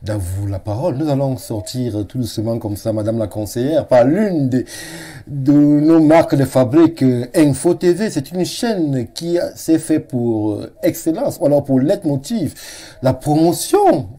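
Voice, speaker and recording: Man hosting a TV talk show, very dark tone, formal and wordy. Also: medium pitch, lively intonation. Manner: formal, elaborate phrasing with filler pauses. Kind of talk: formal TV studio interview. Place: TV studio set.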